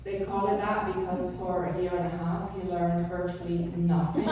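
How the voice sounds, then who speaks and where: A woman's voice: mid-range voice, slight background noise. A middle-aged woman from the Netherlands, a native Dutch speaker, doing a stand-up set with dry, sarcastic punchlines. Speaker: stand-up comedian. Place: comedy club stage. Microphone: handheld stage mic. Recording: roomy club sound from far off. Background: crowd laughter.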